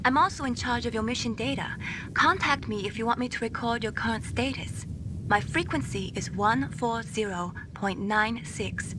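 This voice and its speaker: A woman's voice: fairly high pitch, normal speed, lightly acted. A woman playing a chirpy young weapons designer. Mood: explaining. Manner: bright, teasing. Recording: noisy game audio.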